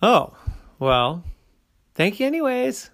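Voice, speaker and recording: A tiny girl bear cub squeals and swoops through her words, eager and polite, as a man puts her on. The voice leaps up and down and keeps a gravelly edge. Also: slow pace, exaggerated voice. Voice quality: high, excitable. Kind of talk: bedtime storytelling.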